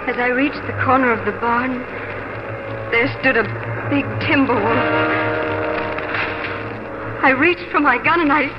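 A woman's voice: sits high, noisy background. An actress playing a devoted, easily frightened young pioneer wife and mother in a theatrical, earnest 1940s radio drama style. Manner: earnest, theatrical letter narration. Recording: muffled 1940s radio broadcast with music.

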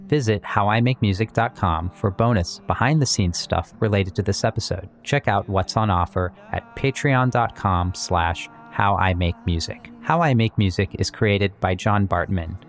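A man presenting as a composer and musician, explaining his work in scripted but conversational podcast narration. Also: lowish voice. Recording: music under the voice. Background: guitar and plucked string music.

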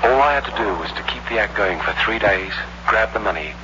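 A man's voice: fairly low pitch, animated, fairly quick, lo-fi sound, natural voice. An actor playing a weary, cagey impostor who tells his scheme tersely in a 1960s radio suspense play, on a noisy old recording. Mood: tense, hard-boiled. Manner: terse, hard-boiled storytelling. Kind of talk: 1960s radio suspense acting, first-person narration.

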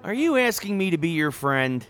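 A young college friend chats in a mid-pitched, very animated voice with faint music underneath. She is casual, teasing and socially savvy, as in a naturalistic audio drama. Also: normal speed. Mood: playful.

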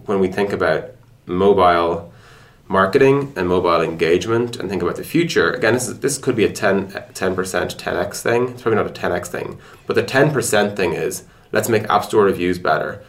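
A man's voice: deep voice, brisk, a bit bright. A man explaining things conversationally in a casual podcast interview, feeling his way toward words as he goes. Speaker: tech product executive being interviewed.

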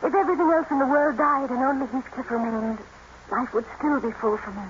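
A muffled vintage radio recording with faint noise of an actress as a willful young gentlewoman. She speaks with proud, theatrical passion and strong emphasis. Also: sits high, steady tone, a little fast, natural voice. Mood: torn, impassioned. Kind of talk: 1950s radio drama acting.

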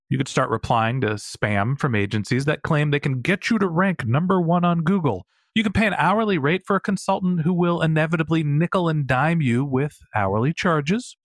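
Lively male ad announcer. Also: on the high side, no echo, studio quality. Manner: polished, salesy. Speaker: sponsor ad announcer. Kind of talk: scripted ad read.

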